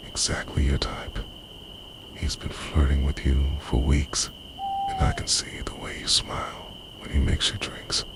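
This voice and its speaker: Guy doing obsessive immortal boyfriend asmr, breathy whispery, super swoopy dramatic delivery, really loud night bugs behind him. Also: heavy background noise, exaggerated voice. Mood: confessional, scheming. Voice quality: very deep, breathy, often dropping into whisper. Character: obsessive, possessive immortal body-hopping spirit lover, menacingly devoted. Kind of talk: intimate ASMR roleplay monologue. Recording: loud night ambience of crickets, owls. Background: crickets, insects and hooting owl.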